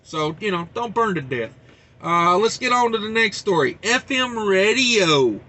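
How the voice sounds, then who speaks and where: A man's voice: high voice, big pitch swings, a little slow, bright, forceful tone. A man hosting a trucking news show, reading stories and rambling into joking asides. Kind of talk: casual news commentary.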